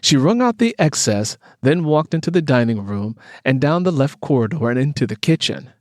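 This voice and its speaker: A man's voice: medium pitch, very animated, moderate pace, dry recording, clean studio sound. A man reading scripted fiction as an audiobook narrator.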